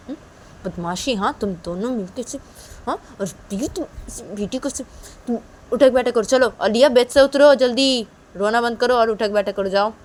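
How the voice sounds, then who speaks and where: A woman voicing an angry young mother who scolds her child in a loud, nagging way. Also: medium pitch, slight background noise, close to an everyday voice. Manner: scolding, repeating questions.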